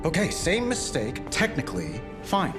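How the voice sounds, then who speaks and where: A brash, impatient male hero in a game. His voice is fairly high and swings expressively, with clear music behind. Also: huge pitch swings, moderate pace. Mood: brash, defensive. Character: brash, impatient magic-wielding ally eager for payback. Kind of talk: animated video game cutscene acting.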